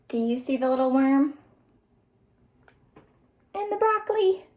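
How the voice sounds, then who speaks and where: High-pitched woman leading children's storytime, slow-paced. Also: expressive, darkish tone, slight background noise. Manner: exaggerated, sing-song delivery for young children. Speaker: children's librarian, storytime host. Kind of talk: children's storytime, read-aloud and singing along.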